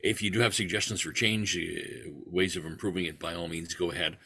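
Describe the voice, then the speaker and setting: Man, very deep voice, bright and husky, rambling explanation, video call. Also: brisk. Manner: rambling, frequent restarts. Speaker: award creator, club volunteer. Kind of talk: online meeting answer.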